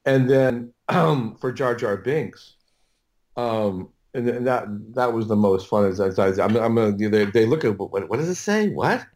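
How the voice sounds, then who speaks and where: A middle-aged man telling stories as an audio producer in a casual interview, halting and rambling as he repeats and restarts his words. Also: mid-range voice, animated, a little mellow.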